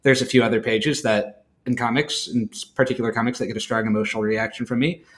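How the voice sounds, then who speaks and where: A man's voice: mid-range voice, little pitch movement, quick pace. A man co-hosting in a casual chat, talking in a thoughtful, rambling, conversational way. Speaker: podcast co-host.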